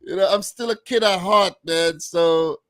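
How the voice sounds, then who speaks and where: A man's voice: sits high, unhurried, dry recording. Rambling man in his thirties. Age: 30s. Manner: relaxed, rambling, frequent "man" and "you know". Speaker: football podcast co-host. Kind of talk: casual chat.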